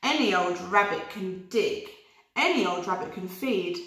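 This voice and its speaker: A woman voicing a friendly female woolly sheep who gently speaks in rhyme, in an echoey room. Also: mid-range voice, animated, measured pace. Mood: warm.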